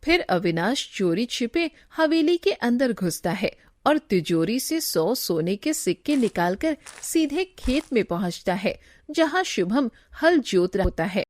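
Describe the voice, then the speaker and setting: A woman reads a scripted story as narrator. Her voice sits a little high and she takes her time over the words. The sound is very dry, without room echo. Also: very animated, a little slow.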